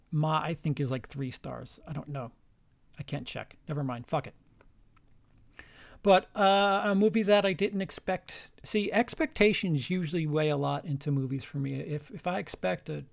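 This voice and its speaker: Rambling gravelly man ranking films. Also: a little high. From lived in Denmark. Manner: rambling, many restarts, casual swearing. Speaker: channel host ranking films. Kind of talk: unscripted one-take film ranking.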